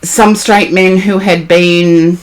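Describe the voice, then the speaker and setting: A hoarse woman, chatting casually on a live stream as a tarot reader, greeting and answering viewers by name. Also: fairly low pitch, fairly even intonation, takes it slowly, rough recording.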